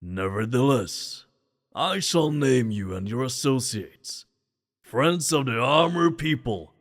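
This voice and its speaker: A man playing a stately, grateful king in a fantasy audio drama, speaking formally and ceremoniously with a gravelly voice. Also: mid-range voice, lively intonation, slow. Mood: solemn, gracious. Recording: long hall reverb.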